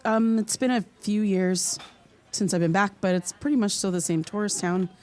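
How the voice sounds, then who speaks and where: A woman's voice: mid-range voice, a little mellow, natural voice. A woman acting as an earnest, hesitant podcaster seeking answers in a naturalistic audio drama. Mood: awkward, friendly. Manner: halting, apologetic, polite. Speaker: lead actor playing a podcaster.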